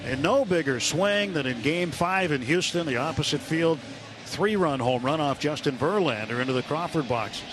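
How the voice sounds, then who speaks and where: A man's voice: on the high side, normal speed. A man doing live radio play-by-play of a baseball game, in clipped descriptive phrases that lift on big plays. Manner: clipped descriptive phrasing, lifting into excited calls. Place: ballpark broadcast booth. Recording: radio broadcast with loud ballpark crowd noise.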